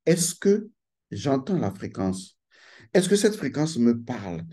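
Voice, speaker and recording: A man's voice: medium pitch, sing-song, slow, dark tone, slightly rough. Emphatic man lecturing. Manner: emphatic and insistent, repeats key phrases. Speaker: channel host giving a talk. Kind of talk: lecturing monologue to camera.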